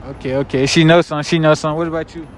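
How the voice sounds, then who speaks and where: A man's voice: a little high, fairly even intonation, racing. A young man from Atlanta with a Southern accent, interviewing people on the street in laid-back slang and repeating his catchphrases. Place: outdoors on a city street. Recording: street noise outdoors.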